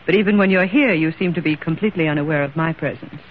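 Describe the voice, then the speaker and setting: A lonely, discontented wife played by a woman in a vintage radio play. She speaks with wounded restraint through a thin, narrow-band broadcast sound with steady background noise. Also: deep voice, quick pace, close to an everyday voice. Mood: bitter, wounded. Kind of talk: old-time radio drama acting. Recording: tinny, noisy old radio recording.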